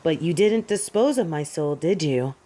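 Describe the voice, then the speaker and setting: A woman's voice: low-pitched, expressive, moderate pace, dark tone. A woman playing a proud fallen angel in an audio drama, with haughty, theatrical and formal delivery. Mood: solemn, accusing. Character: proud, defiant fallen female angel of judgement. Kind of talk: scripted audio drama acting.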